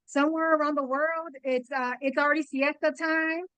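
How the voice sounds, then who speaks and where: A woman's voice: high-pitched, steady tone, moderate pace, a bit bright, dry recording. Upbeat chatty woman, dry close sound. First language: Spanish. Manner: upbeat, chatty, lots of fillers and restarts, addresses "you guys". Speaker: guest speaker. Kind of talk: webinar presentation. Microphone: video call.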